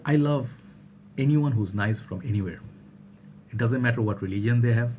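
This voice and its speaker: A man's voice: mid-range voice, normal speed, darkish tone, slight background noise. A young man from Bangladesh talking casually to camera in a vlog, rambling with many fillers and restarts. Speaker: vlogger.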